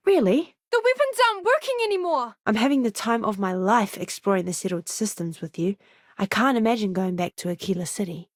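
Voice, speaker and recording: A woman voicing an earnest, eager young ship's doctor in a video game, with a polite, expressive manner. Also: fairly high pitch, no echo, studio quality. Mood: apologetic, hesitant, then alarmed, urgent. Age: young adult. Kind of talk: game character voice lines.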